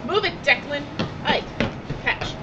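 An actress playing a tough, bossy crew member in an audio drama, curt and commanding. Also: female voice, animated, slow pace. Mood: urgent, bossy. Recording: muffled, very noisy ambience, vehicle rumble.

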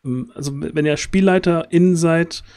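A man speaks in a fairly high register for a male voice. He is casual and thoughtful, often stopping to rephrase as he gives feedback on a group call. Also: fairly even intonation, normal speed, a little mellow. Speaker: tabletop role-play streamer and player. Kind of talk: casual chat, giving feedback after a game. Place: indoors on a group video call.

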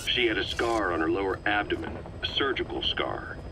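A cold, menacing game interrogator with a very deep voice. He is deliberate, mocking and accusatory, swinging from pointed stresses down to breathy near-whispers. A clear vehicle rumble runs behind him. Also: expressive. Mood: probing. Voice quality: hushed, often dropping to a breathy near-whisper. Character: cold, sardonic, menacing male military interrogator. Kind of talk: dramatic video game voice acting.

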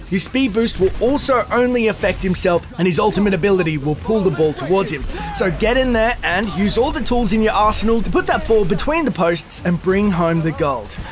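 A man reading scripted gaming news as a video host, in an upbeat promotional manner. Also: high voice. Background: music.

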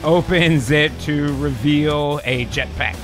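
Slow-spoken man, slightly high, music behind. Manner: drawn out while typing aloud. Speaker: co-host and writer. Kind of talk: casual improvised brainstorming chat. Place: home streaming room. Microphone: close microphone on boom arm.

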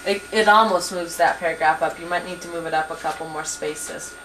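A young woman patiently teaching word processing at a desk computer, with many pauses and ums, recorded on a 1990s camcorder in a small home office. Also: on the low side, fairly even intonation, fairly bright tone, rough recording. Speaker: computer instructor. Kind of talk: hands-on software tutorial. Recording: 1990s camcorder with faint hiss.

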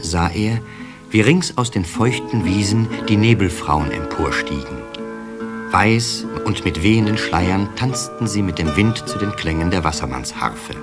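Low-voiced male storybook narrator. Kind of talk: scripted children's story narration. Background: soft guitar music at times.

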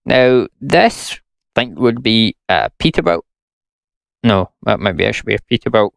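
Gravelly young Scottish man ranting. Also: fairly low pitch, animated, moderate pace, no room sound. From Scotland. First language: English. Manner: blunt, exasperated, sarcastic. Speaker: gaming YouTuber. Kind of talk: ranting gameplay commentary.

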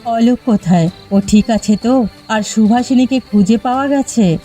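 A woman's voice: a little high, moderate pace, mellow, soft background music, close to an everyday voice. A woman playing a principled, reproachful village housewife in a cartoon, with a Bengali accent. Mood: worried.

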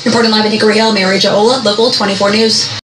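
A woman's voice: medium pitch, level tone, a bit bright. Woman TV reporter, echoey broadcast. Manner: measured, crisp news delivery. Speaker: TV news reporter. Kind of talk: live TV news report. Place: outdoors at night, live shot. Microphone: handheld microphone.

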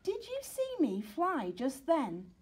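Woman as an eager, high-pitched little boy puppy. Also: huge pitch swings, takes it slowly, a little mellow, full character voice. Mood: hopeful. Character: earnest, excitable little boy puppy in a storybook.

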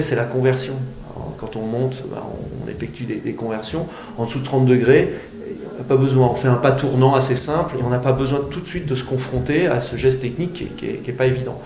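A man's voice: medium pitch, gravelly, a little mellow. A man who co-wrote a book, explaining it at a panel evening with many halts and restarts. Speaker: book co-author. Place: small room in a bookshop. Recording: echoey room.

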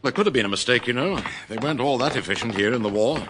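An actor playing a bumbling middle-aged civil servant in a radio comedy, genteel, fussy and deferential, with a British accent. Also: male voice, mid-range voice, expressive, fast talker, over loud music, close to an everyday voice. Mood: puzzled. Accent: British English. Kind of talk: 1960s radio situation comedy acting. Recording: slightly noisy vintage radio recording.

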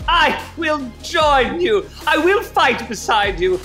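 An ardent young idealist speaks in a high, forceful voice. She is earnest and dramatic, taking her time, in radio comedy style. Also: very animated, takes it slowly, faint music behind, heavily acted. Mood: resolute. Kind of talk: comedy radio play acting.

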